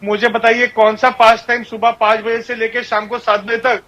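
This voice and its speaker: A man with a very high-pitched voice and a sharp, forceful edge, speaking. He argues his case insistently as a debate panelist, driving points home through repetition. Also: level tone, moderate pace, dull audio. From India. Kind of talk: TV debate argument.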